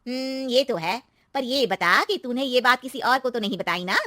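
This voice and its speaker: A woman's voice: fairly high pitch, normal speed, sharp tone, no room sound, studio quality, voice pushed up. A woman voicing a gossipy, superstitious older neighbour aunty in a dramatized story, emphatic, knowing and cautioning. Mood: ominous warning. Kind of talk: acted story dialogue.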